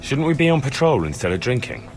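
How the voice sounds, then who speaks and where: A man's voice: mid-range voice, sing-song, quick pace, faint music behind. A young man voicing an eager, earnest trainee town guard in a medieval video game, speaking plainly. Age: young adult. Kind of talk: dramatic game cutscene dialogue.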